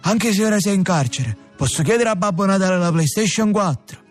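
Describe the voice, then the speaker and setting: An actor playing a naive, whiny young son of a crime family in a comic radio drama. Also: male voice, sits high, expressive, a little fast, faint music behind.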